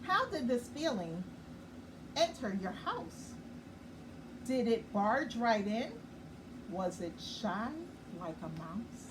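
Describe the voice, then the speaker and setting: A woman hosting a nightly children's story time, reading a picture book slowly and gently and pausing to explain it. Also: fairly high pitch, sing-song. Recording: far from the mic with clear background noise.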